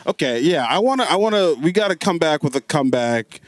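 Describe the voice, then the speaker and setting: A man's voice: a little high, animated, brisk, dry recording. Joking male podcast host, dry sound. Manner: joking, riffs into quoted voices. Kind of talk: casual podcast chat.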